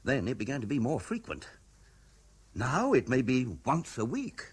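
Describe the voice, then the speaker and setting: An actor with a British accent playing a troubled, earnest middle-aged husband in a 1970s radio drama, hesitant but insistent. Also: male voice, medium pitch, very animated, normal speed, husky. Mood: troubled, recalling.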